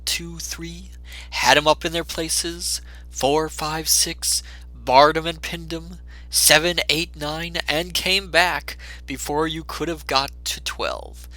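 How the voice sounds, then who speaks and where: A man narrating a classic storybook in a theatrical style, with deliberate, dramatic phrasing. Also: a little high, bright, forceful tone, dry recording, poor audio.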